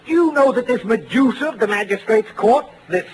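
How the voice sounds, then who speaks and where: A man's voice: very high voice, expressive, brisk. An actor playing a pompous, spluttering old crooked businessman, theatrically indignant in a 1940s radio drama style. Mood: pompous outrage. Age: older. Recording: noisy vintage radio recording.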